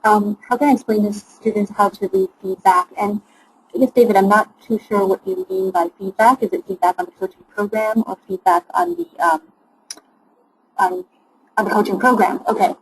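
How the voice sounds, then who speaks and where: A woman's voice: mid-range voice, normal speed, a little hiss or hum, poor audio. A woman relaying a participant's question during a webinar, hesitant and thinking aloud.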